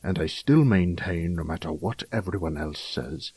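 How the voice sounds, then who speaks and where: A man playing a gruff, fatherly older lord in a medieval fantasy audio drama, blunt and hearty, with a husky, gravelly voice and archaic, formal speech. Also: low voice, moderate pace, darkish tone. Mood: hearty, wry.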